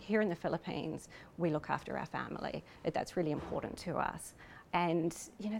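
A woman's voice: lowish voice, gravelly, a little mellow, a little hiss or hum. A woman with a New Zealand accent, speaking thoughtfully and hesitantly as an interviewed researcher, with frequent you-knows. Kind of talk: reflective interview talk.

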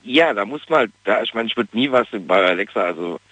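A man's voice: lowish voice, animated, brisk, fairly bright tone, dry recording. A male caller with a regional German dialect, chatting enthusiastically with lots of fillers, heard over a phone line. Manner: enthusiastic, many "äh" fillers and "ja?" tags.

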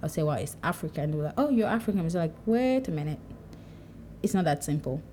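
Low-voiced young woman from Cameroon. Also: fast, a little hiss or hum. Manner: conversational, animated when quoting herself. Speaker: podcast speaker. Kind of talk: personal reflective monologue.

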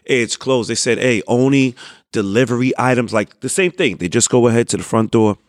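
A man in a casual group chat telling an animated, wisecracking story about his kid. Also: medium pitch, brisk, no room sound, studio quality. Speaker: guest.

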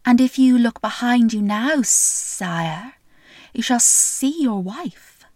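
A woman doing a hushed, sly little snake. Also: on the high side, animated, no echo. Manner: slow, drawn-out, hushed and cryptic. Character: small sly wise snake in the woods.

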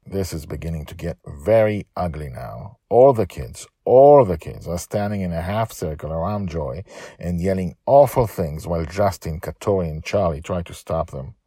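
A man's voice: low voice, lively intonation, normal speed, mellow, dry recording, studio quality. A man hosting a storytelling podcast, talking and narrating in an emphatic way that repeats phrases for emphasis. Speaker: podcast host and author-narrator.

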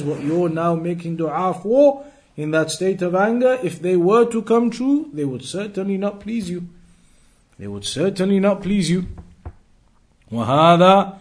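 A man teaching an Islamic lesson, reading out text and explaining it deliberately, with emphatic repetition and rephrasing. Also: fairly high pitch. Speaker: religious teacher.

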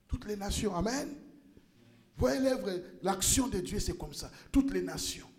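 Very high-voiced male pastor, echoey. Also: animated, unhurried. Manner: impassioned preaching, rises to shouts and repeats words. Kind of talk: sermon.

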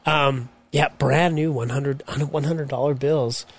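A man's voice: mid-range voice, steady tone, takes it slowly. A man co-hosting a podcast, telling a rambling story in casual chat and quoting people with lots of "I says". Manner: rambling, quotes others with "I says". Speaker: podcast co-host.

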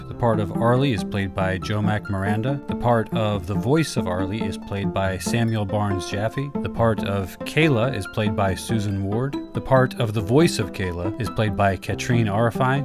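A male announcer reads a scripted introduction in a fairly low voice. Plucked guitar music plays loudly behind him throughout. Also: normal speed, few pauses. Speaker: announcer introducing playwright and cast. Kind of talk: scripted narration. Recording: loud guitar music under voice.

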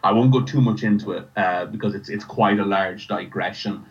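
Deep-voiced Irish man, slightly muffled recording. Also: steady tone, moderate pace. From Ireland. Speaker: writer and podcast creator, interview guest. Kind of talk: casual interview conversation.

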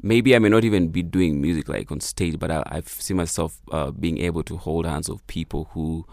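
A very deep, gravelly man's voice, recorded dry and close. He grew up in Kenya with Swahili as his first language, and he talks in an easygoing, reflective way, searching for words with lots of fillers and restarts. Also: moderate pace, darkish tone, no echo. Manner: reflective, many fillers and restarts, laughs easily. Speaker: musician guest. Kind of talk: casual interview.

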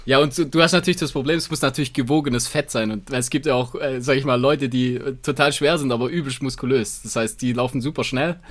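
A man's voice: medium pitch, steady tone, fast talker, hardly any pauses, a bit bright. A man co-hosting a podcast in casual banter, chiming in with teasing, jokey remarks. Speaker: podcast co-host.

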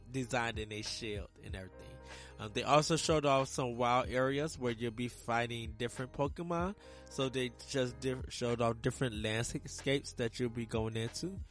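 A man hosting a casual solo gaming podcast, a little hoarse from a sore throat, rambling loosely with many ums and uhs. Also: medium pitch, a bit bright. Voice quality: raspy. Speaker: podcast host.

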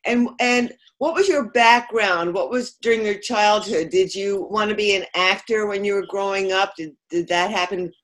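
A woman hosting an interview podcast, a psychotherapist by trade. She asks her questions in a measured, conversational way, at an ordinary middle pitch. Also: fairly bright tone.

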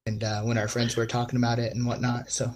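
Upbeat podcast host, mid-pitched. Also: male voice, completely flat delivery, fast, a little mellow, a little hiss or hum. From United States. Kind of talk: casual podcast chat.

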